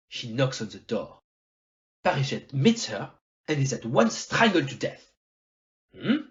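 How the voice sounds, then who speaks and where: Theatrical, animated detective, played by an amateur actor. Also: mid-range voice, lo-fi sound. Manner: theatrical, didactic, rhetorical "eh?" tags, French phrases. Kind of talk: amateur audio play detective acting.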